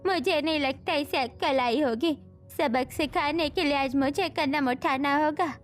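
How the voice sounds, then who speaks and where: A woman voicing a stern, reproachful grandmother who scolds her family in a scripted animated story. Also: sits high, unhurried, soft background music. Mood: stern, resolved.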